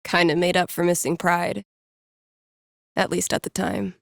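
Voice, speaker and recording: Young female game character, record-store DJ, sardonic and nerdy, natural conversational delivery, gravelly fry, close dry sound. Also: lowish voice, fairly even intonation, a little slow, no echo. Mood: casual, preoccupied. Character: wry, nerdy young woman record-store clerk and radio DJ. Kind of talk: naturalistic video game voice acting.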